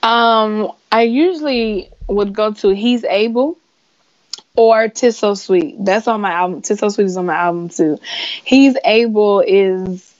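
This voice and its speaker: A woman in her twenties, a singer being interviewed, chatting casually in a giggly, animated storytelling way. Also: mid-range voice, unhurried, highs cut off. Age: 20s. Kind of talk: casual chat.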